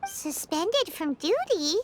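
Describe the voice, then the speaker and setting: A woman voicing a small, childlike fairy companion in a video game, squeaky, chirpy, blunt and exclamatory. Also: big pitch swings, slow pace, faint music behind, heavily acted. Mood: curious, surprised. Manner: exclamatory, musing, speaks of self in third person. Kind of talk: voiced video game dialogue.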